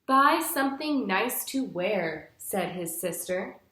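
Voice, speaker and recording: A young woman reads a storybook to children in a teacher's instructive way. Her pitch moves up and down a lot, and she takes her time over each phrase. The sound is echoey, recorded in a room a little way from the microphone. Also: lively intonation, slow pace, bright, forceful tone. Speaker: teacher reading aloud to pupils. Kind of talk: picture-book read-aloud for young children. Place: small living room, indoors. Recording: roomy indoor echo.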